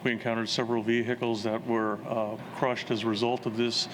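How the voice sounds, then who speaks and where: A man's voice: mid-range voice, level tone, a little fast, slight background noise. A man giving a formal press statement as an emergency official.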